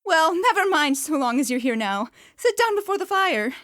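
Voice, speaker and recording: A woman's voice: sits high, big pitch swings, moderate pace, a bit bright. A woman playing a fussing, motherly housewife in a student radio drama. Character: warm, bustling working-class mother.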